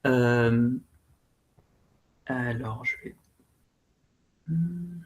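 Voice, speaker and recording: A man's voice: medium pitch, very slow, low-quality recording. A man in his thirties from Belgium giving an online talk about his experience, with many hesitations and restarts. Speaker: speaker sharing experience.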